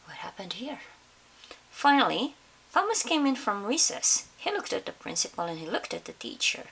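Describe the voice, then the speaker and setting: A woman reading a picture book aloud to children in an expressive storytelling style, pausing to put questions to her listeners. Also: medium pitch, creaky, husky, low-quality recording. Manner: pauses to question young listeners. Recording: faint background hiss.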